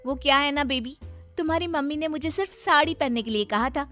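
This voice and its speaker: A woman's voice: high-pitched, a little fast, a bit bright, soft background music. A woman voicing a brash, cheeky, fashion-mad young daughter-in-law in a cartoon story, snappy and argumentative. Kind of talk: Hindi cartoon story dialogue.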